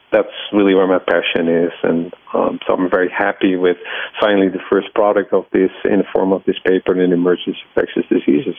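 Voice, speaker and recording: Middle-aged male scientist, Dutch accent, gravelly low voice, halting explanatory interview delivery. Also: no echo, phone-quality sound. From Netherlands. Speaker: research scientist and laboratory head, interviewee.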